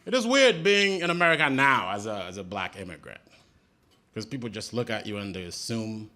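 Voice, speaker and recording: A Haitian man whose first language is Haitian Creole, performing an animated stand-up set on a comedy stage and jumping into high mock voices for punchlines. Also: a little fast. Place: comedy stage before a laughing crowd. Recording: loud noise, audience laughter.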